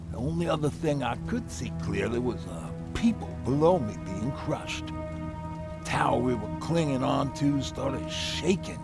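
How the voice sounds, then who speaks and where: An aging male survivor in a video game, with a creaky, gravelly voice at a middling pitch. He rambles in a folksy, reminiscing way, with music playing clearly behind him. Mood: somber, haunted. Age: older. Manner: folksy, reminiscing, pauses and chuckles. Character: lighthearted, rambling old man, weathered survivor and mechanic. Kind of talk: dramatic video game acting.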